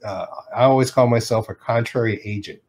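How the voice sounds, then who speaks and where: A man's voice: mid-range voice, brisk, a little mellow. A middle-aged man from Detroit, an animation artist, telling stories in a casual interview, acting out quotes and laughing often.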